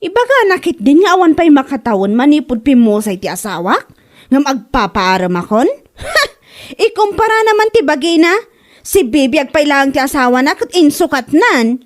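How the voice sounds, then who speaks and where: A woman's voice: high-pitched, very animated, moderate pace. A woman playing a hot-tempered widow stung by gossip in an Ilocano comedy drama, theatrical and aggrieved, with an Ilocano accent. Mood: indignant.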